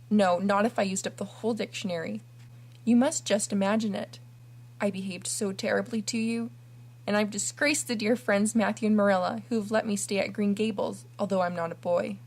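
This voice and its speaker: A woman's voice: medium pitch, close to an everyday voice. A woman voicing an imaginative, dramatic orphan girl who talks earnestly and theatrically.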